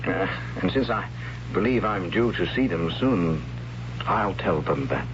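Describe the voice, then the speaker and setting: A mild, wry man condemned to die in an old radio drama. His low voice swings widely in pitch as he questions things hesitantly, on a muffled, noisy recording. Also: lively intonation, a little slow. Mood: wry, puzzled. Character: condemned man Gold, good-humoured, wry, puzzled, mild. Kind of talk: 1970s radio drama acting.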